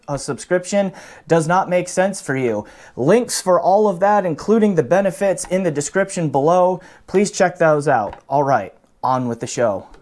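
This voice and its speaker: A man's voice: on the high side, darkish tone. A man hosting an audio gear review in a casual, conversational, loosely explanatory way. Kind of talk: casual product review to camera.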